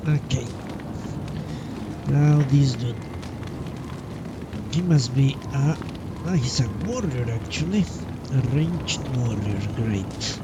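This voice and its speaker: A man's voice: mid-range voice, expressive, takes it slowly, long pauses, heavy background noise. A man doing casual live commentary on a game, musing and muttering to himself in short fragments as he thinks aloud. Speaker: live streamer playing a role-playing game.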